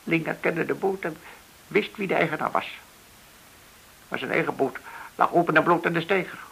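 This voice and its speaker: An actor playing a frightened, cornered banker in a radio drama, halting and anxious as he pleads. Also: on the high side, vocal fry, sharp tone, exaggerated voice, low-quality recording. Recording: hissy old radio play recording.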